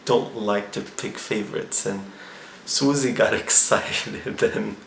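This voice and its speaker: A man's voice: on the low side, steady tone, takes it slowly, creaky. A man casually recapping his trip in a chatty, rambling vlog. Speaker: vlogger. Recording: echoey room with faint ambient noise.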